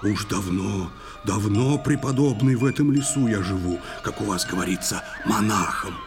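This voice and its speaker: A man's voice: lowish voice, moderate pace, over music, natural voice. A man playing a whimsical, restless water spirit posing as a monk in a poetic audio play for children. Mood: sly, carefree. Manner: theatrical verse recitation.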